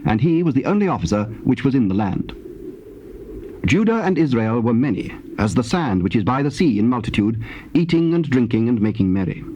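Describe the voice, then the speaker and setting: A man's voice: mid-range voice, a little mellow, muffled recording. A man narrating dramatized scripture in a stately, declamatory manner. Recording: faintly noisy old cassette tape.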